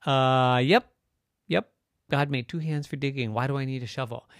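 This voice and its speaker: A man voicing an eager, excitable little boy in a children's story, with an exclamatory, sing-song way of talking. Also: medium pitch, moderate pace, dry recording, studio quality, lightly acted.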